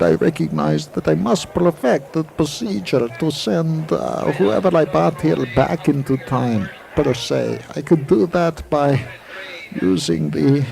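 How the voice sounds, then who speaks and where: An actor playing a formal, secretive, eccentric physics professor with courtly, pedantic phrasing in a 1980s audio drama. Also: male voice, a little high, gravelly, dark tone, full character voice. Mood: confiding. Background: racetrack crowd.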